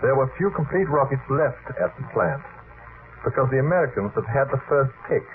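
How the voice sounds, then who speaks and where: A man's voice: medium pitch, expressive, brisk. A man moderating an unscripted late-night radio discussion, wordy and hesitant, often cutting in to steer the others. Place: radio studio. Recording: noisy, phone-like vintage radio archive recording.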